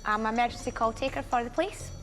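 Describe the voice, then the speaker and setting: A woman's voice: a little high, rapid-fire, fairly bright tone, faint music behind. A Scottish woman from Scotland, a game show contestant answering the host's questions in a polite, composed way.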